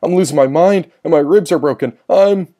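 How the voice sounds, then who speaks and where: A man voicing a desperate, defiant male prisoner in a story, agitated and yelling. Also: mid-range voice, brisk, close to an everyday voice. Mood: breaking down.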